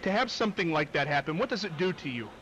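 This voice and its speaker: A man hosting a talk show, asking an interview guest questions slowly and deliberately. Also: on the high side, expressive, noisy background. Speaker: host and interviewer.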